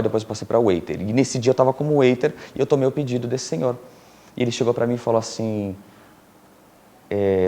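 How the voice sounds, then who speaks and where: A middle-aged man from Brazil chats as a guest on a TV interview show. His fairly low voice turns animated as he tells his stories, often breaking into laughter. His speech is loose and conversational, full of fillers and restarts. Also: gravelly. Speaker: interview guest, filmmaker and producer. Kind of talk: casual storytelling in a TV interview.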